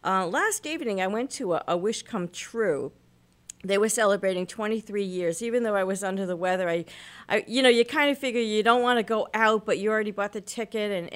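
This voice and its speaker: Hoarse woman hosting local radio. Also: mid-range voice. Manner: chatty, rambling with fillers. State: hoarse from illness. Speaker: radio show host. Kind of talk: local radio talk show.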